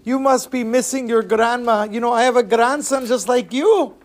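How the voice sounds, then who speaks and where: A storyteller voicing a kindly, doting old lady train passenger in a gentle, fussing manner. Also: medium pitch, normal speed, pitched above the performer's own voice, natural voice. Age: older. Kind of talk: oral storytelling character voice.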